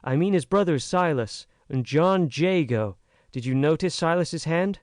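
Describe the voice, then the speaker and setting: A man's voice: fairly high pitch, lively intonation, a little slow, no room sound, studio quality. A man reading an audiobook, voicing an earnest, frank young American woman who pleads with emphasis. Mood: earnest pleading.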